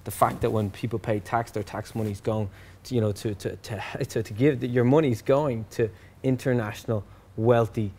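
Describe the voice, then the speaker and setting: A man's voice: medium pitch, fairly even intonation, normal speed, few pauses, warm tone. An Irish man in his thirties chatting jokily as a comedy guest, often repeating words as he goes. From Ireland. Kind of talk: casual joking interview chat. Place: cluttered warehouse room, on a couch. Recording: faint background room noise.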